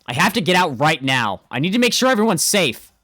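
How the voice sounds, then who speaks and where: A man's voice: a little high, big pitch swings, fairly quick, bright, forceful tone, no echo, studio quality, soft background music, exaggerated voice. A man playing an anxious, earnest teenage schoolboy, narrating in the first person for an amateur game dub. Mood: panicked, angry.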